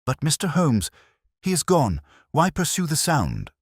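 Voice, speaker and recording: A man's voice: fairly high pitch, huge pitch swings, a little slow, no room sound, studio quality, voice pushed up, exaggerated voice. A man voicing an anxious, earnest noblewoman with a formal, pleading manner in an audio story.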